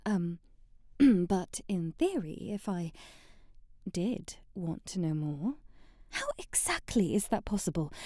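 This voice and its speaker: Sheltered young princess, played by a woman, bookish, flustered and haughty; soft mellow tone, unhurried, for intimate ASMR roleplay. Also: a little high, no room sound, studio quality, heavily acted. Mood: bashfully curious. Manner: prim, old-fashioned diction, coy hesitant stammering.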